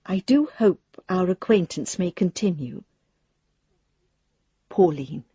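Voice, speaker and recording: Prim, fussy English gentlewoman for radio drama letters; mellow, expressive delivery, close dry sound. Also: lowish voice, slow, slightly rough, no room sound, muffled recording, heavily acted. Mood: shyly apologetic. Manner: prim, polite, wordy. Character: genteel, earnest, politely fussy English lady letter-writer.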